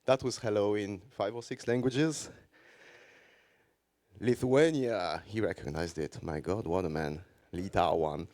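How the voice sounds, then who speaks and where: A man from Belgium whose first language is French, giving a measured conference presentation in English with a Belgian French accent and frequent pauses. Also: sits low, darkish tone. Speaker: conference speaker, journalist.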